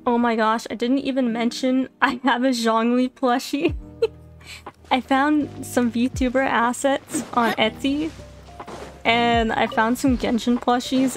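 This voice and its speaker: A young woman from Texas with a light southern accent, chatting casually and giggling while playing a game. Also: a little high. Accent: Texan. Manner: giggly, chatty, frequent laughs. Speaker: VTuber streamer. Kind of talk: casual gameplay chat. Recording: game music behind. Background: lofi background music.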